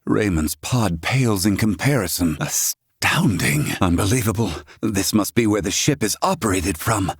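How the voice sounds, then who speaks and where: A man playing a proud, stern older inventor in a video game, speaking in formal, archaic language with gruff, haughty curtness. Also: fairly low pitch, lively intonation, normal speed, few pauses, no echo, studio quality, full character voice. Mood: awed. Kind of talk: scripted video game voice acting.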